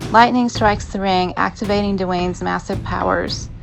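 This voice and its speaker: A woman's voice: medium pitch, fairly even intonation, moderate pace, gravelly, heavy background noise. A woman reading scripted dramatic narration as the narrator of an audio drama. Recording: music behind the narration. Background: background music.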